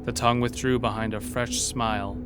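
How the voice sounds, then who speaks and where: A man narrates a scripted horror tale in a middle-pitched voice. Music plays clearly behind the storytelling. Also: flat delivery, a little fast.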